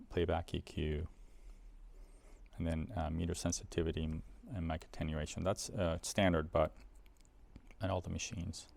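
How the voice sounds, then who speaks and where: A man's voice: low-pitched, vocal fry, darkish tone. A man giving a casual, explanatory product demonstration in an informal way, as a presenter for an equipment seller.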